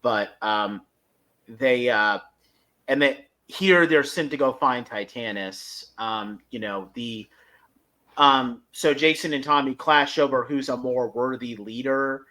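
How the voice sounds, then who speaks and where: Guy with a bright forceful voice doing a casual podcast recap, kinda halting, mid pitch. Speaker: podcast host. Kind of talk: casual episode review commentary.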